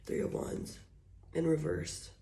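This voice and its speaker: A woman giving a casual tarot reading. She is hoarse from being sick and talks softly and slowly, with fillers. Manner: soft, slow and drawn-out, with scattered fillers. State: hoarse, recovering from illness, coughing. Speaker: tarot reader. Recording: echoey room, faint noise.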